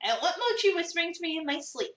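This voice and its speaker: A woman performs an overwhelmed young cafe worker telling a visual novel story with sarcastic, dramatic disbelief. The voice is high and swings widely, picked up from slightly away in a roomy space. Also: very animated, rapid-fire, a bit bright, full character voice. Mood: exasperated. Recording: roomy, slightly distant mic.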